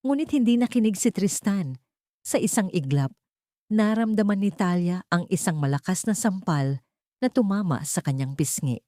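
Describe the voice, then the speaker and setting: A woman's voice: mid-range voice, lively intonation, moderate pace, dark tone, no room sound. A woman narrator reading a dramatic scripted story as a close voice-over.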